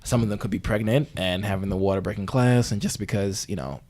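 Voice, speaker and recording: A man playing a bumbling, earnest talk-show host in an improvised comedy interview, with halting, rambling phrasing. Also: lowish voice, a little mellow, close to an everyday voice. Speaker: podcast host.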